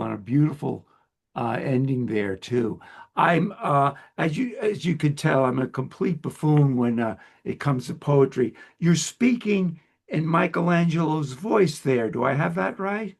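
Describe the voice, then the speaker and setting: A man in his seventies hosting a casual interview, talking in a rambling, halting and self-deprecating way. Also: on the high side, lively intonation, gravelly, slightly rough. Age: 70s. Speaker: host interviewer.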